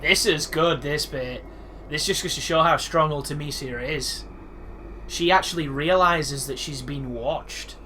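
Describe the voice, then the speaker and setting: Bright-voiced man rambling over a game. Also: fairly high pitch, a little slow, a little hiss or hum. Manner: rambling and chatty, with frequent restarts and asides. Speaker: let's play host. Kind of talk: casual live gameplay commentary.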